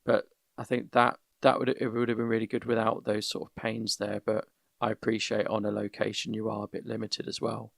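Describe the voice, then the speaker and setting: A man hosting a casual podcast, giving measured, careful feedback with frequent restarts over an internet call. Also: lowish voice, completely flat delivery, creaky, dry recording, clean studio sound. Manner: measured, many restarts, gives constructive feedback. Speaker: podcast host. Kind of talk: casual conversational critique. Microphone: voip call.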